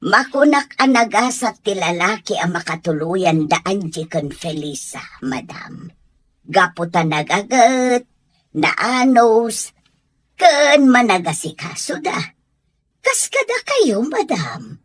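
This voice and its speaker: Loyal kindly woman, Ilocano accent, unhurried, breathy, expressive melody, radio drama acting. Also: medium pitch. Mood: gentle, reasoning. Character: gentle, loyal woman defending servants to her madam.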